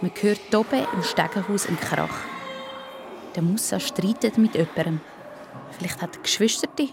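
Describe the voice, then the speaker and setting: A woman's voice: medium pitch, lively intonation, moderate pace, gravelly, noisy background. A woman with a Swiss accent narrating a scripted children's story as part of a radio play.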